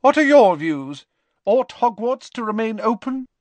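Storybook casting: man plays older woman schoolteacher, raised pitch, brisk, formal and stern. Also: big pitch swings, no room sound, full character voice. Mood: grave.

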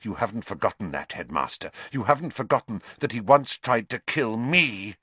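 A man's voice: fairly low pitch, lively intonation, moderate pace, pushed and bright, breathy edge, no echo, exaggerated voice. Male reader doing a snarling, spiteful teacher who sneers and hisses. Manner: sneering, snarling, sometimes whispered.

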